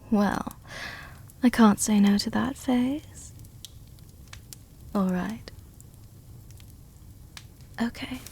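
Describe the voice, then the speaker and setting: A woman playing a seductive, protective vampire countess in an intimate ASMR roleplay, speaking tenderly and teasingly with a soothing, soft-dominant manner. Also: medium pitch, creaky. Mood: amused, coy. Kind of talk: intimate ASMR roleplay, scripted character acting.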